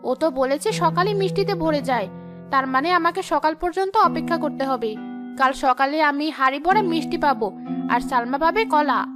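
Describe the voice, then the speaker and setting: Woman voicing a very high, spiteful jealous sister-in-law. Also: lively intonation, moderate pace, music in the background. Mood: gloating. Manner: taunting, accusatory. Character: spiteful, jealous, scheming younger sister-in-law in a fairy tale. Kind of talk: animated fairy-tale voice acting.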